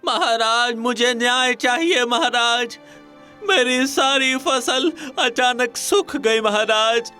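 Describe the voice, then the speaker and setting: A plaintive village farmer from a cartoon storybook, acted by a man. The voice is extremely high and sharp, begging in distress. It moves slowly over soft music. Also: full character voice. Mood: pleading distress. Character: distressed, pleading village farmer at a royal court. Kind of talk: dramatised cartoon storybook dialogue.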